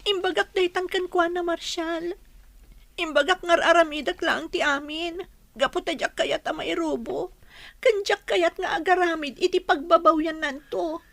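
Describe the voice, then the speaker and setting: A young Ilocano woman in a radio drama, with a very high voice in a dry recording. She is a loyal friend, hurt and earnest, always pleading to be understood. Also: steady tone, moderate pace, creaky, a bit bright, no room sound, heavily acted. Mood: hurt, tearful.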